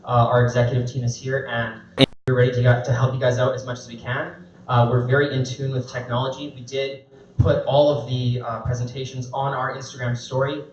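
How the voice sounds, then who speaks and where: A man, a company head, giving a short, friendly and slightly halting pitch. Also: level tone, a little mellow. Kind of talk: short announcement. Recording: echoey room, distant dull mic, faint hiss.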